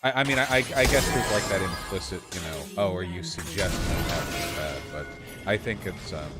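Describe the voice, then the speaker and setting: A man's voice: deep voice, normal speed, loud music behind the voice. A man in casual conversation, blunt and sardonic. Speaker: conversation partner.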